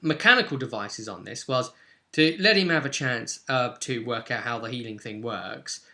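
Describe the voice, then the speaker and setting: Bright-voiced man recapping a tabletop game. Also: medium pitch, expressive, moderate pace, creaky. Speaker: game master.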